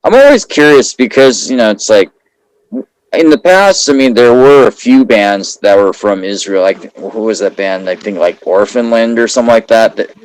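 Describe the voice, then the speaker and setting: Man, podcast host, lowish voice, dry close sound, fumbling questions. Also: animated, normal speed, smooth, no echo, poor audio. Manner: stumbling, reworded questions. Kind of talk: casual interview.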